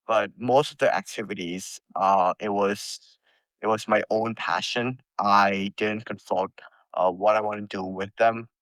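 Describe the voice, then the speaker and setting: A young man from Thailand, a college freshman, answering interview questions carefully with many pauses and ums. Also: lowish voice, a bit bright, dry recording, rough recording. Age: young adult.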